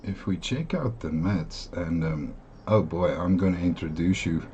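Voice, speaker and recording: A South African man whose first language is Afrikaans, giving a casual earphone review in a halting way, full of fillers and restarts, with enthusiastic asides. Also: low voice, mellow, dull audio, slight background noise.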